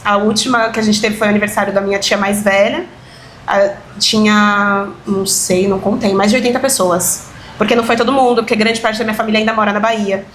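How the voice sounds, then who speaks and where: A woman's voice: medium pitch, quick pace, slight background noise. A woman, a dance teacher chatting as an interview guest, telling family stories with laughter and emotion. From São Paulo, Brazil. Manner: lively, laughing storyteller, emotional about family. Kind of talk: casual interview conversation.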